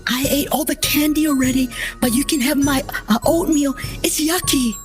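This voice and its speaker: A man's voice: very high-pitched, fairly even intonation, moderate pace, over music, pitched above the performer's own voice. A man voicing a guileless eight-year-old boy in a narrated story. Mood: childlike, guileless. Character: young boy of about eight, childish and guileless.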